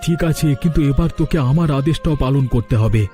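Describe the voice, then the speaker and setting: Guy doing bossy stubborn elder brother in a village cartoon, dramatic, mellow voice, bit of music behind. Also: on the high side, fairly quick, close to an everyday voice. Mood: commanding. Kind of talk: Bengali cartoon story voice acting.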